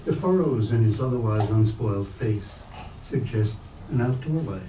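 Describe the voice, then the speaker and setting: Male reader, literary prose, dark soft tone, picked up from a distance in an echoing room. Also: lowish voice, expressive, moderate pace. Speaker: reader at a staged book reading. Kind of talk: literary prose reading aloud. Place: bookstore event space, indoors. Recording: roomy, distant mic, faint noise.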